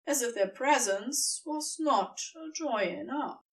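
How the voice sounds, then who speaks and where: A woman narrating scripted fiction as an audiobook reader, with expressive storytelling and deliberate phrasing. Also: high-pitched, recorded from a distance.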